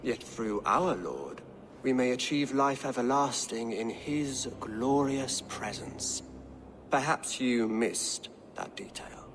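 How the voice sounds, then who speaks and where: An actor playing a pious, calculating English king in a video game, with a formal, deliberate and composed delivery. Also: medium pitch, lightly acted. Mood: solemn. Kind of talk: dramatic video game acting. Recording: noisy background ambience.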